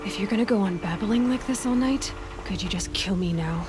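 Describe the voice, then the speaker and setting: A woman's voice: medium pitch, brisk, natural voice. Sardonic, defiant young woman hunter played by an actress. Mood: dry sarcasm. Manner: dry, scornful, sarcastic. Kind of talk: naturalistic video game drama acting. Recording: loud music under the voice.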